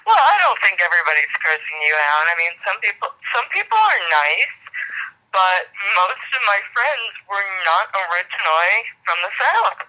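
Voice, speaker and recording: A New Yorker with a New York accent talks bluntly and brusquely over a phone call. The voice is very sharp and tense. The phone line sounds dull and muffled. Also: medium pitch, very animated, moderate pace. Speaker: prank call target. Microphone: telephone.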